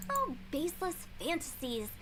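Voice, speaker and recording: A woman's voice: extremely high pitch, huge pitch swings, fairly bright tone, exaggerated voice, rough recording. A woman voicing a perky, cheeky young funeral parlor director in a video game, speaking in a playful, theatrical way. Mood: animated, philosophical. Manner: playful, theatrical, brisk salesmanship.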